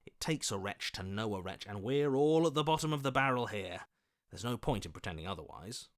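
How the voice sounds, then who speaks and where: Man playing a wildly animated, sly, weaselly schemer. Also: on the low side, fairly quick, natural voice. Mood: rousing, persuasive. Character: scheming, self-serving, weaselly opportunist voice inside the hero's head. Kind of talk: theatrical video game character voice acting.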